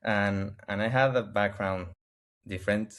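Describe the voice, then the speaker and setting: Argentine guy, spanish is his first language, low voice, keeps restarting and hunting for english words. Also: fairly even intonation, a bit bright. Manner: halting, searching for English words with many restarts. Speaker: interview guest. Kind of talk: casual interview answers.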